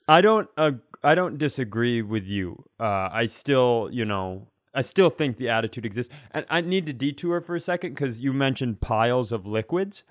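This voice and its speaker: A man with a mid-pitched voice chats casually as a comedy podcast co-host. He rambles through anecdotes with wry speculation, recorded very dry with hardly any room sound. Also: expressive, moderate pace, hardly any pauses, dry recording.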